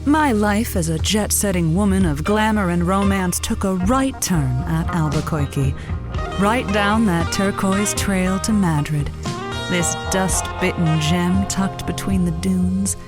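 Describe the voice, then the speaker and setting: A woman's voice: medium pitch, measured pace, over music, close to an everyday voice. A woman playing a flustered novice radio advice host in an audio comedy, theatrical and flowery, given to nervous stammers. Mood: grand, breathy showmanship. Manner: flowery, grandiose on air, stammering when nervous. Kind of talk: audio comedy drama acting.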